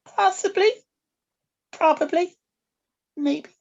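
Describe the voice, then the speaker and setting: Woman playing a high-pitched stern witch grandmother. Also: slow pace, vocal fry, lo-fi sound, exaggerated voice. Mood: guarded, explaining. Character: stern, evasive witch grandmother guarding family secrets. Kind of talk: table read of a TV pilot script.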